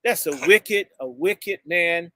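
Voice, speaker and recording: A man from the United States speaks to a live gathering in a high, bright, cutting voice, close to the mic and dry. He is fiery and blunt, drawing out key words for weight. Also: lively intonation, slow pace, no room sound. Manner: blunt and insulting, jumps between topics. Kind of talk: impassioned speech to a live audience.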